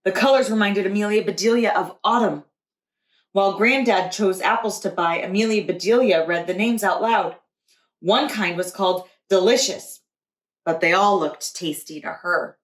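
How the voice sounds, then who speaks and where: A woman teacher reading a picture book aloud to young children with deliberate expression. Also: mid-range voice. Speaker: preschool teacher. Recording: roomy echo.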